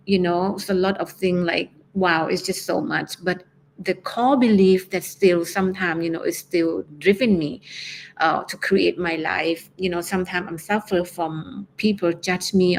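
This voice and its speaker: A woman from Thailand with a Thai accent, speaking as a life coach in a solo motivational talk, pausing often and searching for words. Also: on the low side, slight background noise. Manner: hesitant, searching for words, many fillers.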